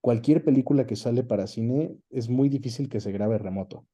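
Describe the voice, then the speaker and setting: A man's voice: mid-range voice, moderate pace, warm tone. A Mexican man being interviewed, explaining things in a casual, conversational way and often checking in with short tag questions. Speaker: interview guest, voice actor and dubbing director.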